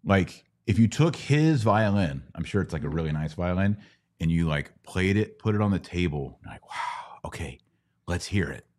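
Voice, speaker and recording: Low-voiced man teaching on a podcast. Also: lively intonation, moderate pace, a little mellow. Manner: didactic, explains step by step with questions and analogies. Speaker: podcast host answering Bible questions. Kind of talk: casual live Q&A teaching.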